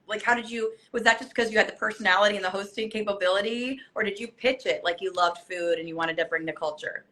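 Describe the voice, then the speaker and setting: A woman hosting a podcast interview, enthusiastic and gushing, with quick affirmations. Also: mid-range voice, pushed and bright. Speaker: podcast host. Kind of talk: casual conversational interview.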